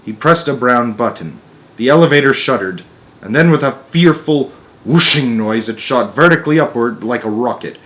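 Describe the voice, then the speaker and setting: A man narrating a storybook aloud in an expressive, emphatic manner, with casual asides. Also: medium pitch, normal speed, poor audio. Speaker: story narrator and channel host.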